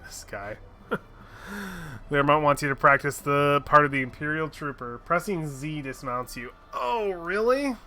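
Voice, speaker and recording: A man's voice: on the high side, lively intonation, a little slow, pushed and bright, faint music behind. A man streaming a fantasy game, chatting casually, joking, swearing and reading quest lines aloud. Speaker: gaming streamer. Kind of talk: casual gameplay commentary.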